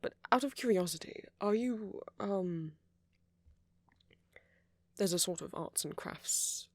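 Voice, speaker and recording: Husky, creaky flirty gentleman vampire played by a man. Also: high-pitched, slow pace, no echo, full character voice. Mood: playful, reassuring. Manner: soft-spoken, apologetic, rambling, politely flirtatious. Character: friendly, flirty, gentlemanly male vampire, chatty and considerate. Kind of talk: intimate ASMR roleplay.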